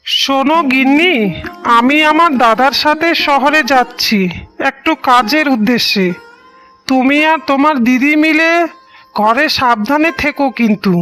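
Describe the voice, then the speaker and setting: Woman as a high-voiced devoted young husband. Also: a little slow, fairly bright tone. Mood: caring, earnest. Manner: earnest, reassuring. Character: caring, dutiful young husband, devoted and reassuring. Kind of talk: melodramatic cartoon story dialogue. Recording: music bed under the voice.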